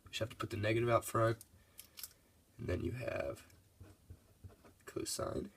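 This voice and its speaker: A man's voice: on the low side, expressive, unhurried, gravelly, slight background noise. A congested young man giving a casual, laid-back math lesson over his worked problems. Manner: laid-back, loose and casual, with many fillers.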